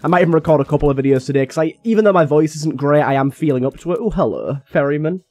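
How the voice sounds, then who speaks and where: A young man with a slightly raspy voice from being a little ill, chatting casually and thinking aloud as he plays a game. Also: fairly high pitch, racing, a little mellow, no echo. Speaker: gaming YouTuber. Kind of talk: casual gameplay commentary.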